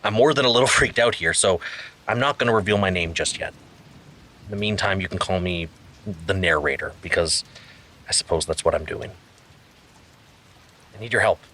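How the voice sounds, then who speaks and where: A man playing an anxious, overwhelmed narrator of a podcast mystery, hesitant and confiding, with a husky voice. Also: low voice, normal speed, pauses often, sharp tone. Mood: uneasy, confiding.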